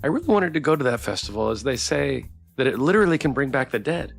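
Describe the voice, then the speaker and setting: A man's voice: medium pitch, very animated, fast talker, creaky, faint music behind. A man streaming a game, reading the story aloud expressively and chatting with viewers as he goes. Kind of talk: playthrough narration with chat banter.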